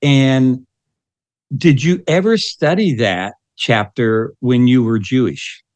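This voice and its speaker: Man, podcast co-host, dry close sound, halting, lots of ums. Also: medium pitch, a little mellow, no room sound. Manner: halting, many fillers. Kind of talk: conversational interviewing.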